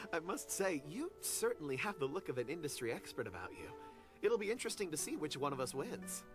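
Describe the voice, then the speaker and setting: A man's voice: a little high, big pitch swings, fairly quick, over music. A man voicing a breezy, absent-minded but self-assured young man in a video game, airy, polite and candid. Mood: cheerfully confident.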